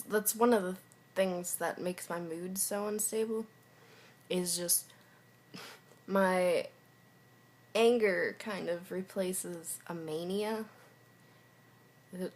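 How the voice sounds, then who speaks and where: A young woman recording a personal video diary, talking frankly in a halting, hesitant way and sometimes dropping to a whisper. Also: lowish voice. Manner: frank and confessional.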